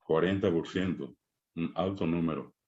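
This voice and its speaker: A middle-aged Paraguayan man with a fairly low voice and a Paraguayan Spanish accent gives a conference lecture. He grew up speaking Guarani first and talks in a measured, emphatic way, pausing on hesitations. The sound is dull and lo-fi. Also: darkish tone. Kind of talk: academic conference talk.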